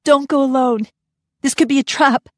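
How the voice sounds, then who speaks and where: A frantic young mother, voiced by a woman narrator, her voice pitched up high and pleading. The sound is close and dry. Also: steady tone, normal speed, no room sound, lightly acted. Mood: panicked. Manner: breathless, pleading. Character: panicked young mother, loving.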